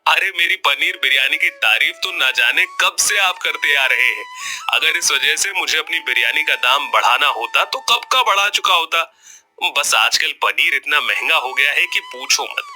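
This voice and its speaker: A greedy village street-food seller for a cartoon tale. The voice is very bright and forceful, a little high, with lively swings in pitch. He talks smoothly and emphatically, with music playing behind. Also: moderate pace, exaggerated voice. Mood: defensive, placating. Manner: animated, emphatic, expressive delivery. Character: greedy, smooth-talking village biryani seller, married man. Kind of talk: Hindi cartoon story voice acting.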